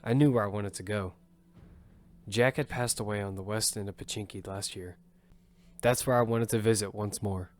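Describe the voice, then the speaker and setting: Man voicing a hardened survivor, low voice, grim narration, music underneath. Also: fairly even intonation, normal speed, lightly acted. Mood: steady. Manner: grim first-person narration, shouting in combat. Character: battle-hardened male survivor, steady team leader. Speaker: narrator and lead character. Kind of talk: audio fiction narration.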